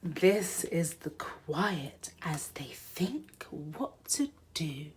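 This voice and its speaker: A woman presents a children's read-aloud in a sing-song, expressive voice. She takes her time over the words, and her pitch rises and dips in a lively way as she speaks to young children. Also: drawn-out words, a little hiss or hum.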